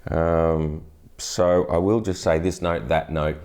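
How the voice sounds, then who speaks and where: A man, teaching guitar in a relaxed, chatty way with plenty of restarts, on a lapel mic in a studio. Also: a bass voice, takes it slowly. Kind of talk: casual guitar tutorial. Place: indoor studio, brick wall backdrop. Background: acoustic guitar playing.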